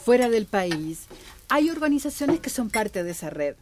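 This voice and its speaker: An actress playing a calm, reassuring, neighbourly village activist in a radio drama. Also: female voice, fairly high pitch, normal speed, noisy background. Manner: neighbourly, expressive.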